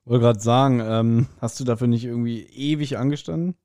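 A man's voice: medium pitch, steady tone, brisk, mellow, no echo, studio quality. A man co-hosting a podcast in casual chat, giving his opinions in slangy, explanatory talk. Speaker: podcast co-host.

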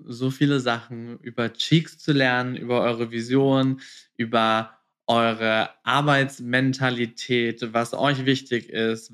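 A man's voice: medium pitch, fairly bright tone. A man hosting a casual interview, speaking informally and mixing in English words. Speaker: podcast host, interviewer.

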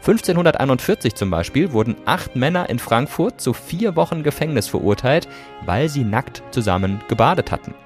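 A man's voice: mid-range voice, big pitch swings, fairly quick, soft background music. A man hosting a podcast, reading scripted narration in a conversational way that addresses listeners directly. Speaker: podcast host.

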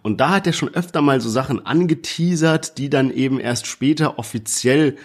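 A man's voice: medium pitch, normal speed. A young man co-hosting a casual podcast chat, hedging his views in a slangy, easygoing way. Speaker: podcast co-host. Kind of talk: casual chat about rap releases.

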